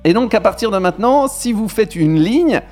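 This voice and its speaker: A man with a cold hosting a radio game, animated and playful, with hesitant fillers. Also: high voice, normal speed. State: has a cold. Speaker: game host. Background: faint music.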